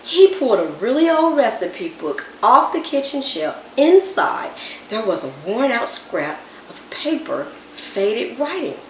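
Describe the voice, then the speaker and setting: Animated woman reading a story, roomy echo. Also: on the high side, measured pace. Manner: animated, dramatic emphasis with hushed asides. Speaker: storybook reader. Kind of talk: expressive read-aloud of a children's story. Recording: roomy echo, distant mic, background noise.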